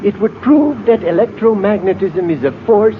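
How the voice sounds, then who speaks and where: An actor playing an earnest, cautious space scientist in a 1950s radio drama, explaining things deliberately. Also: male voice, high-pitched, lively intonation, narrow, tinny audio. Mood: cautious, intrigued. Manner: deliberate, pondering, expository. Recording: very thin, noisy old radio recording.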